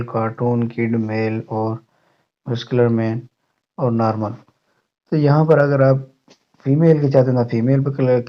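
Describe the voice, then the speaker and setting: A man hosting a tech channel, narrating an app tutorial in an instructional way to his viewers. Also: medium pitch. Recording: muffled, dull lo-fi voice-over.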